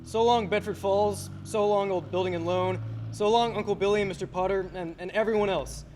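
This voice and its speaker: Earnest, emphatic young hero for radio play, acted by a young man: fairly high voice, slight background noise. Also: steady tone, brisk, darkish tone, lightly acted. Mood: elated. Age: teens. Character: earnest, headstrong young small-town businessman. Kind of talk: filmed radio play acting. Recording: faintly noisy stage recording.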